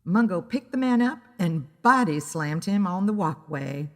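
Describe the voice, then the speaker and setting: A woman narrates a scripted story in a deliberate, dramatic way. She takes her time, her pitch moves expressively, and the sound carries a long hall reverb. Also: animated.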